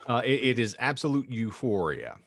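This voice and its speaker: A man's voice: medium pitch, very animated, normal speed, a bit bright, dry recording, studio quality. A man co-hosting a podcast in a wry, playful way, moving between casual chat and reading aloud with theatrical phrasing. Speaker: podcast co-host.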